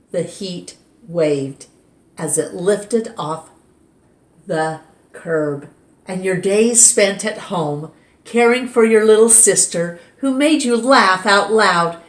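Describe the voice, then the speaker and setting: A woman reading a picture book aloud at storytime in a gentle, expressive way. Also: fairly low pitch. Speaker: storytime reader. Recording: roomy echo.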